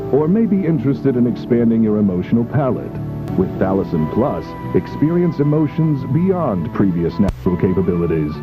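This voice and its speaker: A man playing a formal, measured pharmaceutical advert announcer in an analog horror video. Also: mid-range voice, sing-song, lightly acted. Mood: clinical sales pitch. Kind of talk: scripted infomercial voice-over, analog horror. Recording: muffled lo-fi tape, music behind. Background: clear background music.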